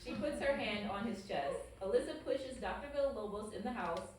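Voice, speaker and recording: A woman's voice: on the high side, animated, a little fast. A woman giving scripted narration, reading the stage directions at a table read. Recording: echoey room, distant mic, faint noise.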